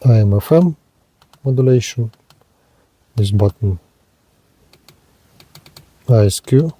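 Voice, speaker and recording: Dark-voiced man presenting in halting phrases. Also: mid-range voice, expressive, slightly rough. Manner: halting, short item-by-item phrases. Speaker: product reviewer, presenter. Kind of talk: hands-on gadget demonstration.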